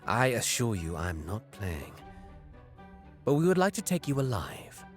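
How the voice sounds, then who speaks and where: A man playing a cruel, haughty alien raider warrior who narrates his hunt in a deliberate, menacing, disdainful way. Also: fairly low pitch, wildly swooping pitch. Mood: quiet menace. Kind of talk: scripted dramatic first-person narration. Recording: music behind the voice.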